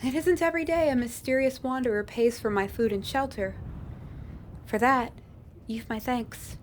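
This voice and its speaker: A shy, humble young flower girl from a fantasy roleplay, played by a woman. Her voice sits a little high, and she speaks softly and politely with quaint, old-fashioned phrasing. Her manner stays gentle and grateful. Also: moderate pace, slight background noise, close to an everyday voice.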